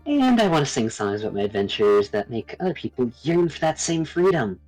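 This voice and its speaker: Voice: mid-range voice, very animated, fairly quick, darkish tone, faint music behind, low-quality recording. A performer voicing a wistful young forest ranger in a visual novel, earnest and dreamy, longing for adventure. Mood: yearning.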